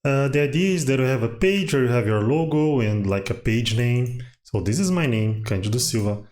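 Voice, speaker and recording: A man's voice: mid-range voice, lively intonation, fairly quick, darkish tone. A man whose first language is Portuguese, hosting a casual coding tutorial and thinking aloud with many fillers as he works.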